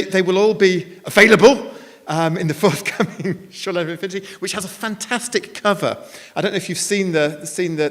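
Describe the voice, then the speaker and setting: A man's voice: a little high, fairly bright tone. A man informally presenting at a live event, hesitant and rephrasing as he goes, with a light-hearted touch. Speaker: event presenter announcing competition winners. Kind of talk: informal live stage announcement. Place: live event venue, indoors. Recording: echoey hall at a live event.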